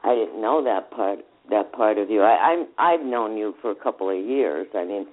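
A man with a somewhat low voice co-hosting a podcast interview. He speaks in an easygoing, casual way. The sound is thin and tinny, like a phone line. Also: moderate pace.

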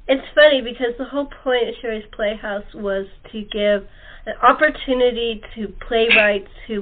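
A woman hosting a podcast in a casual, chatty style over a thin phone-like line. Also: mid-range voice. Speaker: podcast host. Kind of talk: casual chat. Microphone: remote call.

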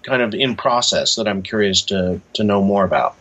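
An American man being interviewed, explaining things casually in a halting, thoughtful way. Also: fairly low pitch, a bit bright. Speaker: interview guest, author.

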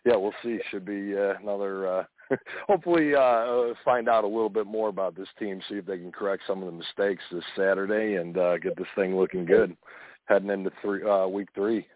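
A man's voice: lowish voice, fairly even intonation, brisk. A man calling in to a sports show, chatting casually and hesitantly with many fillers. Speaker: caller. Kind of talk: casual phone-in chat. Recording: dry phone line.

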